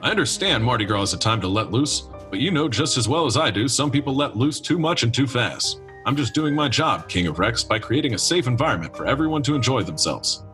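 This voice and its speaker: An actor playing a no-nonsense, wounded detective in a noir radio comedy, earnest and deadpan in his melodrama. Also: medium pitch, fairly quick, few pauses, lightly acted. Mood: brooding, resolute. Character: no-nonsense, wounded, half-float detective, male, hardboiled. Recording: music playing behind the voice. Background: music.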